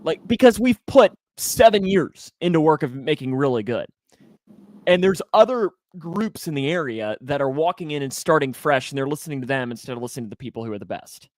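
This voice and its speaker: Animated young man talking sports. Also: on the high side, fairly quick. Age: 20s. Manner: emphatic, repeats phrases for emphasis. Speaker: sports show host and broadcaster. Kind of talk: casual sports talk.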